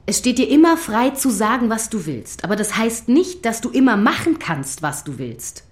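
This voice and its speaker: A woman playing a middle-aged schoolteacher in a radio drama, patient but official, measured and formal. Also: on the high side, big pitch swings. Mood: firm, grave.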